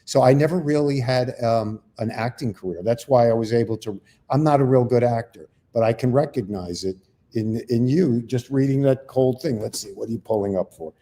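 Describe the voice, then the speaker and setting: A man's voice: mid-range voice, fairly quick, mellow. An older man, a comedian co-hosting, telling rambling, self-deprecating stories in casual chat. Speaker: comedian co-host.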